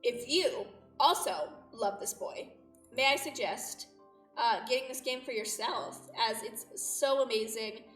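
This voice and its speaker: Woman, high voice, game livestream, melodramatic delivery, echoey room, music behind. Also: unhurried, fairly bright tone. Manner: dramatic, playfully exaggerated distress. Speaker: game streamer. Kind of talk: livestream chat and read-aloud of a visual novel. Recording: roomy echo, distant mic, music behind.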